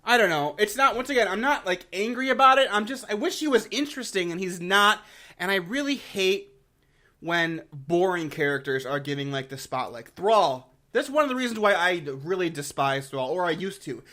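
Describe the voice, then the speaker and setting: A man with a notably high voice hosts a casual review of game lore. He is blunt and exasperated, swears freely in loose slang, and slips into mocking asides as he argues his opinions. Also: lively intonation, normal speed, pushed and bright.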